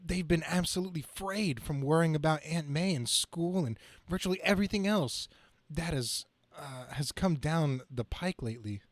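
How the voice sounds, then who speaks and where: An earnest young superhero, played by a man in a comic-book reading. He sounds anxious and boyish, a bit high-pitched, in a dry, close recording. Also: normal speed, no room sound, close to an everyday voice. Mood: nervous.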